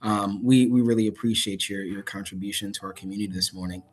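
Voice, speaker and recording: A man with a lowish voice moderates an online interview over a video call. He reads out questions and thanks his guest in a relaxed, conversational way. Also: fast talker.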